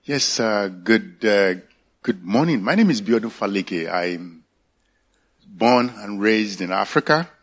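A man in his sixties with a Nigerian accent, giving a halting personal faith testimony at a church service. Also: on the low side, expressive. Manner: halting, searching for words. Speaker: church elder candidate.

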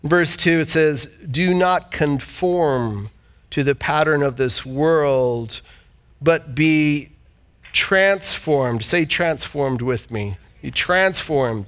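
A pastor delivering a sermon, a man whose voice sits notably high. He reads scripture aloud and pauses to have the congregation repeat key words back. The service is also streamed to viewers online. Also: fairly bright tone. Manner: preaching with call-and-response and scripture reading. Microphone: church service streamed online.

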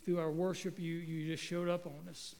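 A middle-aged guest pastor from North Carolina, preaching in a folksy, joking way with stumbling restarts. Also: male voice, fairly high pitch, flat delivery, moderate pace, gravelly, a little mellow, big-room sound. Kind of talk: sermon. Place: church sanctuary. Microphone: handheld microphone.

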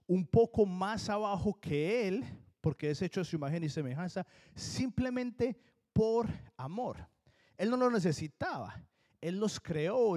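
A man from Colombia with a Colombian accent, preaching a sermon in an earnest, emphatic way and slowing down to stress key words. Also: on the high side, a little mellow. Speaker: pastor.

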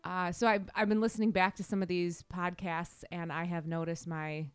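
A woman's voice: fairly low pitch, flat delivery, moderate pace, clear, resonant tone, dry recording, soft background music. Midwestern lady w a wisconsin accent hosting a podcast, chatty, very dry sound. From Wisconsin, United States. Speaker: podcast host. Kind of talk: casual chat.

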